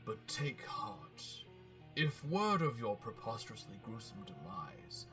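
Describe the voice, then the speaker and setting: A man's voice: lowish voice, very animated, slow, recorded from a distance, over music. A man voicing an eloquent, pompous robot warlord who speaks with theatrical, polite menace in a dramatic comic book reading. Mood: menacing, condescending.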